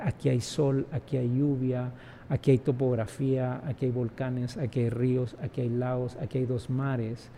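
Guy in his 40s, interview guest, emphatic, keeps tossing in tag questions, kinda raspy fry. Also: mid-range voice, dark tone, slight background noise. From Guatemala. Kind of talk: reflective conversational interview.

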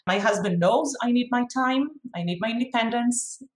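A woman's voice: fairly high pitch, moderate pace. Israeli woman, frank, in an echoey room. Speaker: chief executive being interviewed. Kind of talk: interview answers. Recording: roomy, echoey sound.

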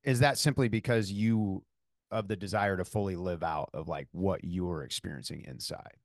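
Guy interviewing someone, lowish voice, kinda hesitant, lots of likes and ums, dry sound. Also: normal speed, dry recording. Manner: hesitant, careful, many fillers and restarts. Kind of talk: casual interview conversation.

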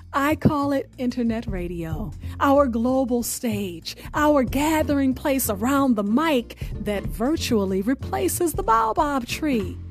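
Woman radio host, high voice, slow measured delivery of a show intro, music underneath. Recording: music playing behind the voice.

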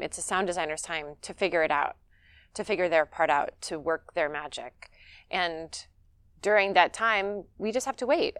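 Slow-talking low-voiced woman. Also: clear, resonant tone, no echo. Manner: unhurried, laughs often. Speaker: actress guest. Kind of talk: casual interview chat.

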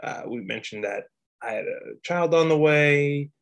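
A man's voice: fairly high pitch, animated, measured pace, creaky, highs cut off. A man co-hosting a podcast in a loose, rambling casual chat, often breaking into laughter. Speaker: podcast co-host.

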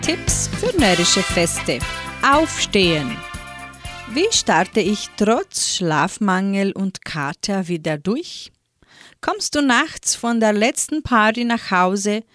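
A woman radio presenter reading scripted tips and song introductions with a lively, cheerful lilt. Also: medium pitch, no echo, studio quality.

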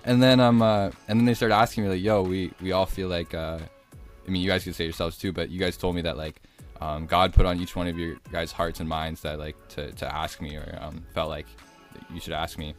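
A young man around twenty co-hosting a podcast, telling things in a casual, slangy, halting way. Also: sits low, flat delivery, soft background music. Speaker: podcast co-host. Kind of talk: casual, rambling storytelling.

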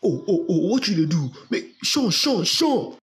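A man's voice: high voice, very animated, unhurried, music in the background. A man acting an easygoing young boyfriend in an audio play, with a Ghanaian accent. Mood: frightened, pleading. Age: young adult. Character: easygoing, teasing young boyfriend, devoted to his mother. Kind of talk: dramatic dialogue in an audio play.

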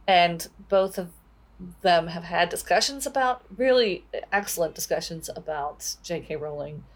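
Thoughtful woman, slightly low voice. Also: animated, a little slow. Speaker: podcast co-host. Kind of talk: book discussion podcast, conversational.

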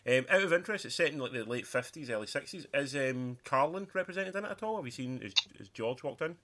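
A man hosting a podcast from home, chatty and quick to ask his co-host questions. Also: mid-range voice, expressive, a bit bright. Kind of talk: casual chat.

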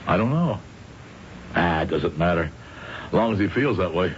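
Casting: anxious, deferential middle-aged company man, low-pitched, old radio drama, dull recording with music behind. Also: animated, normal speed, slight background noise. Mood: uneasy, resigned. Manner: hesitant, deferential with his boss. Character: anxious middle-aged salesman, deferential, uneasy, haunted by memory. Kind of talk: old-time radio drama acting, with narrated inner thoughts.

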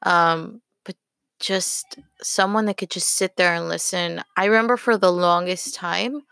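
Gravelly, earnest woman podcaster. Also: fairly low pitch, fairly even intonation, unhurried, bright, forceful tone, no echo, studio quality. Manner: earnest, rambling, lots of fillers. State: slightly hoarse. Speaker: podcast host. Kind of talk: casual solo podcast talk.

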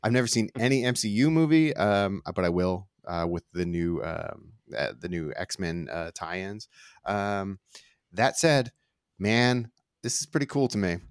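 A man chatting casually as a podcast guest, hesitant and halting, with some swearing. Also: deep voice, clean tone, dry recording, studio quality. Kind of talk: casual chat.

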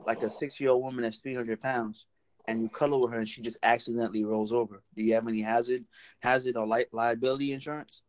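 A man in casual podcast chat, joking and animated. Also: mid-range voice, fast talker, rough recording. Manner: animated joking, laughs loudly.

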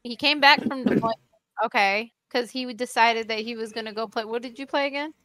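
High-voiced woman teasing her partner, dry sound. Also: a little fast, fairly bright tone, no echo. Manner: teasing, storytelling, hesitant restarts. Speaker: podcast co-host. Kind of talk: casual group chat.

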